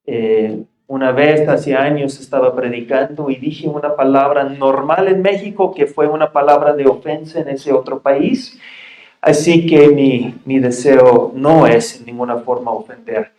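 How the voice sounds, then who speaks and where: Mexican pastor guy preaching, slow and deliberate, voice bouncing around a roomy church. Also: mid-range voice, fairly even intonation, a little mellow. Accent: Mexican Spanish. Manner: reads scripture aloud in a raised, projecting voice; plain, friendly asides to the congregation. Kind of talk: sermon. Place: church sanctuary. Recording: echoey church room.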